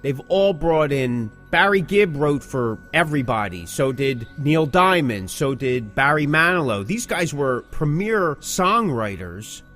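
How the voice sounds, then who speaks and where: Animated man, emphatic podcast co-host. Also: a little high, measured pace, few pauses, soft background music. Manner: emphatic, rhetorical questions. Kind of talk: casual music-industry discussion.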